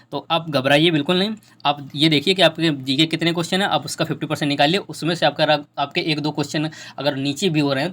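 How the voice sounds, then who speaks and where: Young guy, talks really fast, bit high pitched, explainer style, repeats himself to make the point. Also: a bit bright. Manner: repeats phrases for emphasis. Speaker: exam preparation YouTuber. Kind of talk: informal explainer addressed to exam candidates.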